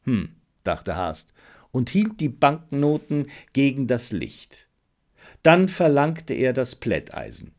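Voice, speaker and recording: A male narrator reads a detective story aloud. He takes his time, speaking in a measured, storytelling way with a lively, varied pitch. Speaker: audiobook narrator.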